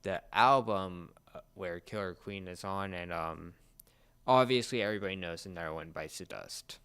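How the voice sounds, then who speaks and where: A man chatting casually as a fan, often halting and searching for his words. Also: low voice, a bit bright, smooth.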